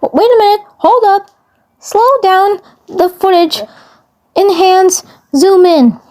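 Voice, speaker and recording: A woman's voice: very high voice, takes it slowly, mellow, voice pushed up. A woman voicing a panicked, disbelieving cartoon boy in a fan fiction story, with strained, incredulous exclamations. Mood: urgent.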